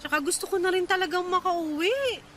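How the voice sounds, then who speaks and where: A woman's voice: extremely high pitch, fairly quick, background noise. A woman playing a young woman stranded at night after a bus cut its route, complaining in exasperation in a radio drama. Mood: exasperated.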